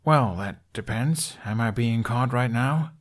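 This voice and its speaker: A man's voice: mid-range voice, unhurried, close to an everyday voice. A man voicing a nervous, sarcastic young runaway royal with a hesitant, dry, easily flustered way of talking, in an audio story. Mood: hesitant, guarded.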